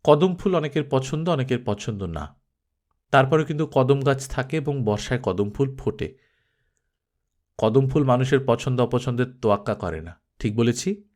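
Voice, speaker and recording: A detached male film director in a story, acted out by a male reader. He questions deliberately and muses philosophically, with animated pitch movement. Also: close to an everyday voice. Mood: musing. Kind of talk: Bengali audiobook character acting.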